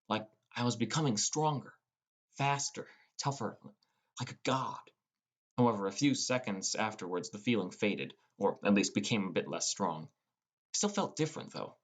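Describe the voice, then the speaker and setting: A man with a fairly low voice narrates scripted fiction in the first person. He reads as an audiobook narrator, telling the story line by line.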